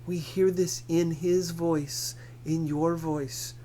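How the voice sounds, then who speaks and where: An earnest village aunt read by a man makes a measured plea in an audiobook. The voice is raised a little and carefully persuasive. A faint hum sits behind it. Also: on the high side, fairly even intonation, warm tone, pitched above the performer's own voice. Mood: earnest, pleading.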